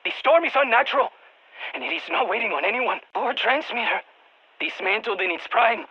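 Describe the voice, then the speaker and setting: An actor playing an anxious, excitable nerdy scientist in a video game, speaking over a radio, expressive and prone to stammering and repeating words. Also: mid-range voice, brisk, breathy edge, muffled recording, exaggerated voice. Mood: panicked. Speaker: field research scientist.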